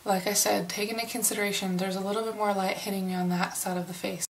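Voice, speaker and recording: A middle-aged woman from the United States giving a casual makeup review in an unhurried, chatty way, hoarse and sniffling from a cold. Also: medium pitch, little pitch movement, a little hiss or hum. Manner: unhurried, chatty, many fillers. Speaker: beauty vlogger.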